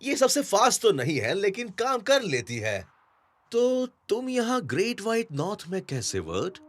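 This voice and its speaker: A man's voice: sits high, wildly swooping pitch, moderate pace, full character voice. A man playing an upbeat, daring young snowboarder in a Hindi-dubbed action cartoon. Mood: upbeat, eager.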